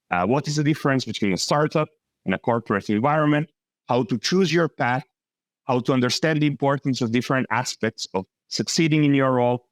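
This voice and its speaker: A man's voice: mid-range voice, no room sound. A man hosting an interview podcast, speaking with frequent "uh" fillers and restarts. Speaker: podcast host.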